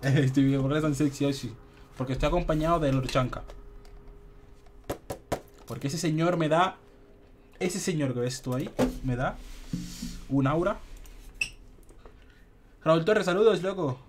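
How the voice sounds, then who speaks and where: A man's voice: fairly high pitch, unhurried, long pauses, slight background noise. A young man from the Republic, chatting casually as he streams a shooter game, slipping English words in. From Dominican Republic. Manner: chatty, laid-back, mixes English words in. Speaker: gaming streamer. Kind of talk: casual gameplay commentary. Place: home room, indoors.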